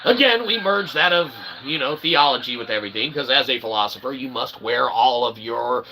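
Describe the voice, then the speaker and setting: A man hosting his own channel, delivering a rambling, wordy philosophical monologue with sudden excited outbursts. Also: medium pitch, fairly quick, background noise. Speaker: channel host.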